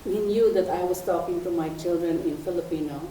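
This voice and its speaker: An older woman whose first language is Ilocano, telling a family story in a halting way and stopping to search for words. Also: fairly low pitch, dark tone, recorded from a distance. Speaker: audience member. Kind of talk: sharing a family story in a discussion. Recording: echoey room, voice from afar, faint hiss.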